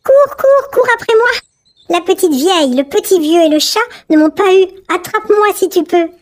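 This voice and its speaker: A woman's voice: very high-pitched, animated, moderate pace, voice pushed up. A woman voicing a cheeky little gingerbread boy in a storybook reading, with a boastful, taunting sing-song manner. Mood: taunting gleeful.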